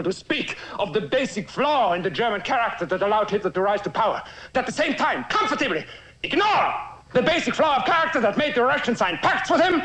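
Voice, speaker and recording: Casting: fiery film defense lawyer, high-pitched, sweeping intonation, hammering rhetorical questions. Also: male voice, pushed and bright, heavily acted, rough recording. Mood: impassioned. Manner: oratorical, emphatic, rhetorical questioning. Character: impassioned male defense lawyer arguing before a tribunal. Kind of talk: dramatic courtroom film speech.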